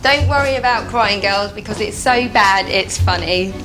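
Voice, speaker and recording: A woman's voice: medium pitch, moderate pace, poor audio. A British woman in her twenties, talking candidly as a bride on a reality show. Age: 20s. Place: indoors, bridal shop.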